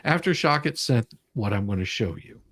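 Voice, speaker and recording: A man with an average-pitched voice hosts a casual commentary. He reads from documents and breaks them down bluntly. The sound is dry, with little room on it. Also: lively intonation, normal speed, fairly bright tone, dry recording. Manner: blunt, mildly profane, explanatory. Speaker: online show host. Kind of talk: casual live commentary, reading documents aloud.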